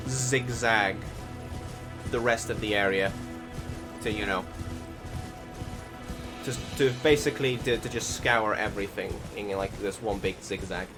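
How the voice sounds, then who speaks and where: A young man giving casual voice-over commentary on his gameplay, rambling with many fillers and restarts. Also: mid-range voice. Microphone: desktop recording setup. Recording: game music behind the voice.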